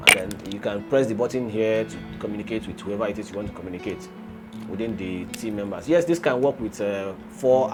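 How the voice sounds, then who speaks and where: A man hosting a casual gadget review, explaining and demonstrating as he goes. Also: medium pitch. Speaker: gadget reviewer and video host. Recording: music playing behind the voice.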